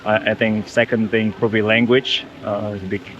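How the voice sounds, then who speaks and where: A young man from Indonesia speaks English with an Indonesian accent in a slightly low voice. He talks casually and restarts his sentences as he goes. Soft music plays faintly behind him. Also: flat delivery, normal speed, dull audio. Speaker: designer for a mission group. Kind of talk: interview. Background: soft background music.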